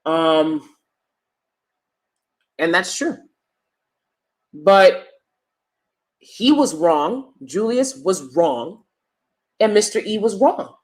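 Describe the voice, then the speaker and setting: Loud, emphatic woman commentator. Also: on the low side, big pitch swings, drawn-out words, pauses often. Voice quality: loud, projecting stage voice. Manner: emphatic, swings between loud and soft asides. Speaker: commentary vlogger. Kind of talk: opinion commentary to camera.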